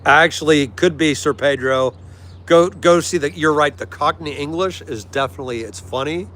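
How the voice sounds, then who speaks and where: A man's voice: on the high side, moderate pace, a bit bright. A man with an American accent hosting a livestream, chatting casually and agreeably with viewers in a friendly way. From United States. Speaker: livestream host. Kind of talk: casual chat with live viewers. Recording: clear background noise, vehicle-like ambience.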